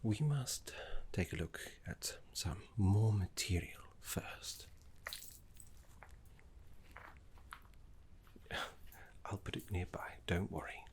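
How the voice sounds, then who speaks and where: Casting: man to play a kindly gentleman necromancer tutor for fantasy game ASMR roleplay; very low, breathy half-whisper, slow and reverent. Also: lively intonation. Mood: kindly, encouraging. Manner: unhurried, soothing, genteel formal phrasing. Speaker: teacher guiding a student.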